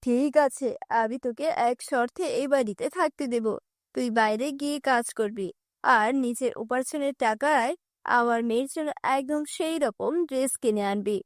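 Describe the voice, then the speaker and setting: A woman's voice: on the high side, moderate pace, no echo, studio quality, pitched below the performer's own voice, natural voice. A woman voicing a cruel middle-aged stepmother in a cartoon, scolding and threatening. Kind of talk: cartoon dubbing.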